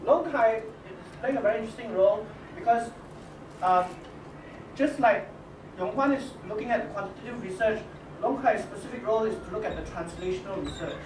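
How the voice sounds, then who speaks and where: A high-pitched man with a Singaporean English accent talks his audience through a workshop in stop-start phrases. The room is echoey and noisy, and he sounds set back from the mic. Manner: halting, many restarts and "okay?" checks. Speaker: workshop leader introducing his team. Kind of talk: casual spoken presentation to teachers. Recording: echoey room from a distance with noise.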